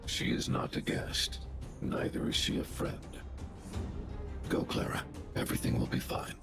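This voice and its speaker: An actor playing a giant stoic robot guardian in a game, speaking formally and logically with a robotic filter. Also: male voice, measured pace. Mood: protective, stern. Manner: formal, flat machine-like phrasing. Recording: music behind the voice.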